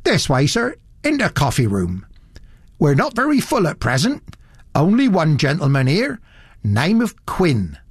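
A big, burly country innkeeper, folksy, gossipy and eager to please, as a male reader plays him. His talk rolls up and down in lively swings. The recording is close and dry. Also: a little high, a little slow, breathy edge, no echo, voice pushed up, heavily acted. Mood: ingratiating.

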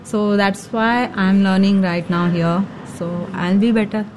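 A woman's voice: medium pitch, steady tone, a little slow, a little mellow, a little hiss or hum, poor audio. A thirty-year-old woman with an Indian accent, a student giving short, simple interview answers in non-fluent English. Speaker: night school student being interviewed. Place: school classroom.

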